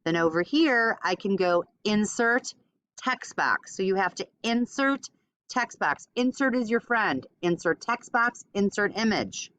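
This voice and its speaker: A woman teaching a step-by-step software tutorial informally, thinking aloud as she demonstrates. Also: medium pitch, lively intonation, a little slow, dry recording. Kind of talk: casual screen-recorded step-by-step tutorial.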